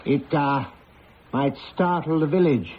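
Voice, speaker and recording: An actor playing a polite, cautious village house agent, speaking in a courteous, hesitant, foreboding way in an old-time radio drama. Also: male voice, a little high, a little slow, close to an everyday voice. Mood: uneasy, ominous. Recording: dull, noisy old radio recording.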